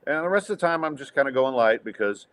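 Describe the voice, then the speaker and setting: A man's voice: mid-range voice, expressive, fast, a bit bright. A man in his fifties hosting a podcast, joking in a self-deprecating, wry way. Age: 50s. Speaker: podcast host. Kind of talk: casual podcast banter.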